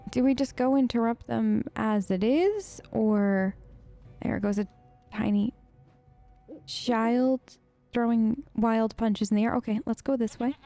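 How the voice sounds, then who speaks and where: A woman's voice: fairly high pitch, unhurried, dark tone, soft background music. A woman giving casual role-play gameplay commentary as a let's play host, with a playful, hesitant, reactive way of talking.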